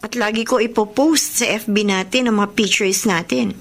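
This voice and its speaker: A woman's voice: medium pitch, steady tone, moderate pace, a bit bright, natural voice. A woman playing a lovesick, pleading young woman in a Filipino radio romance drama, earnest and tearful. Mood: hurt, wistful. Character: lovesick, pleading young woman clinging to her cheating boyfriend.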